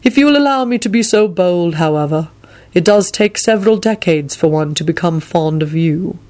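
Woman as a smooth, dryly witty old retainer. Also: on the low side, expressive, moderate pace, a little mellow, lightly acted. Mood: gloomy, wry. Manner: polite, deferential yet casually cheeky. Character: smooth, dryly witty longtime manservant to a lord.

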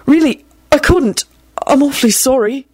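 A woman's voice: high-pitched, normal speed, a little mellow, no echo, clean studio sound, pitched above the performer's own voice, close to an everyday voice. Woman playing an awkward, nervous young man, stammering. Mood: desperate apology. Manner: stammering, hesitant, apologetic.

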